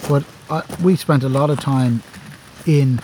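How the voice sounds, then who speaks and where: Enthusiastic Irish man, mellow voice. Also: mid-range voice, unhurried, slight background noise, poor audio. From Ireland. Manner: enthusiastic, repeats words while thinking. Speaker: guest, forestry worker. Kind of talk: casual podcast conversation. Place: outdoors among camping gear.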